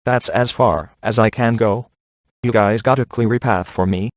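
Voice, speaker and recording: An actor playing a streetwise, exasperated ghost hunter in a video game, informal and grumbling. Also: male voice, fairly low pitch, measured pace, dry recording, studio quality, close to an everyday voice.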